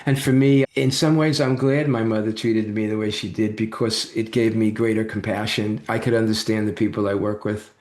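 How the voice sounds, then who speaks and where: A man's voice: fairly low pitch, steady tone, a little mellow. Reassuring man, therapist guest. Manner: supportive, reassuring, quick to agree. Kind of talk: casual conversation.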